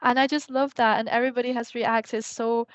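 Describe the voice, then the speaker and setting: A woman's voice: on the high side, steady tone, brisk, no echo. A young woman from China, a Mandarin speaker, talking in English as a podcast guest. She rambles and fills her sentences with "like" and "um". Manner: rambling, heavy use of "like" and "um". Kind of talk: casual podcast interview.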